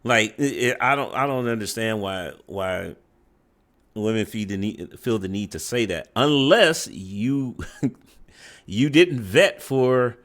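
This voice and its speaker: A man with a very low, deep voice gives reaction commentary on a dating clip. He speaks haltingly, full of fillers and restarts, with a judgmental tone. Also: lively intonation, fairly bright tone. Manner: halting, many fillers and restarts, tags "right?".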